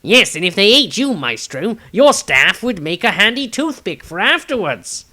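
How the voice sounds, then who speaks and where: A man's voice: high-pitched, sing-song, moderate pace, sharp tone, voice pushed up, heavily acted. A man voicing a small, food-loving halfling in a fantasy storybook, cheeky and easily flustered, with a jokey, spluttering delivery. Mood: joking.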